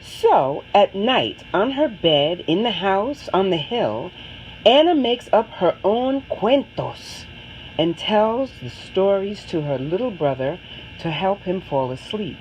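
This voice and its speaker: A woman narrates a picture book for children, taking her time over each phrase. Her pitch moves in lively, dramatic swings, and there is faint noise behind her. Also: rough recording. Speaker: storybook reader. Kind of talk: expressive children's story read-aloud.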